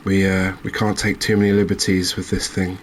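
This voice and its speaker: A man with a low voice gives cozy, ASMR-style game commentary. His pitch stays very even as he rambles and muses to himself, and some light noise sits behind him. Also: brisk. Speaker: gaming channel host.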